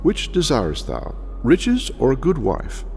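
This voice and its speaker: A man's voice: mid-range voice, wildly swooping pitch, unhurried, gravelly, darkish tone, voice pushed up, full character voice. A man voicing a mysterious wise old man who gives solemn counsel in old-fashioned storybook language. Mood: instructing. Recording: long reverb, music behind the voice.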